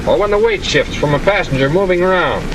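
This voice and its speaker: Breezy high-pitched American pilot played by a man. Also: animated, fast. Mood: breezy, confident. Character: breezy, overconfident expert pilot showing off controls. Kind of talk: 1940s training film demonstration. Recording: noisy old film soundtrack, engine drone.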